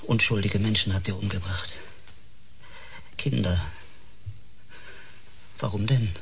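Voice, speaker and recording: Angry accusing man in a sci-fi audio drama, lowish voice, bit noisy. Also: fairly even intonation, slow, creaky. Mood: outraged, accusing. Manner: accusing, agitated. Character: bitter, outraged man confronting his oppressors. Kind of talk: radio drama acting.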